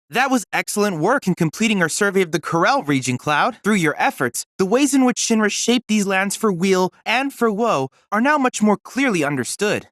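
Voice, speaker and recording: Android boy assistant, polite, bookish, eager, animated intonation, dry close sound, video game dialogue. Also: high voice, no echo. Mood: grateful, earnest. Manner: formal, precise, courteous, bookish diction.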